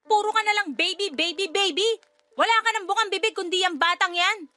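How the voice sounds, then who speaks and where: A woman's voice: extremely high pitch, fairly quick, no echo. A woman playing a resentful, hot-tempered Filipino wife and adoptive mother in a radio drama, exasperated and defensive. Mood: irritated, angry. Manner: exasperated, defensive, sharp-tongued.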